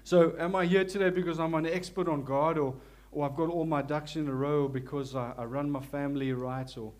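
A man's voice: a little high, steady tone. Earnest South African man preaching. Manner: earnest, humble, halting with many restarts; prays softly and slowly. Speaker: lay preacher. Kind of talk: church sermon and prayer. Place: church.

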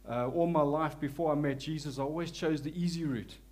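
A man with a South African accent preaching a church sermon, earnest and humble, with frequent restarts and softer, slower prayer passages. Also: fairly high pitch. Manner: prays softly and slowly. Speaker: lay preacher.

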